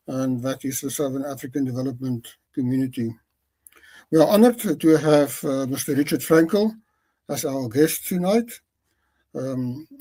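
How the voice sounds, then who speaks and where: Man, podcast host, formal and polite, halting, mellow slightly high voice. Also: rough recording. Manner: polite, halting with many fillers. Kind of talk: formal interview hosting.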